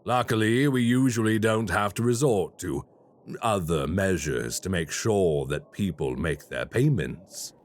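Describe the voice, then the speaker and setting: An actor playing a polite, quietly menacing male crime boss in an audio roleplay. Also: low-pitched, animated. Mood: smooth menace. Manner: slow, courteous and quietly menacing.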